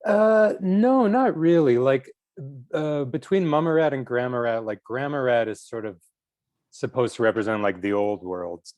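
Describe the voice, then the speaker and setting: A man's voice: medium pitch, lively intonation, normal speed, a little mellow, no room sound. A man, a writer, casually explaining characters to a cast.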